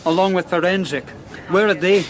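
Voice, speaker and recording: A man's voice: sits high, normal speed, lightly acted. An actor playing a hapless, plain-spoken junior police constable who moans his way through a radio crime drama. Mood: grumbling. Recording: noisy outdoor-scene radio drama recording.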